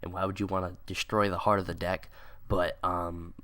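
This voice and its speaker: Stuffy-nosed young man, low voice. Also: little pitch movement, moderate pace, fairly bright tone. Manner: rambling, many "like" and "um" fillers. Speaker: card game video creator. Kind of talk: casual unscripted commentary.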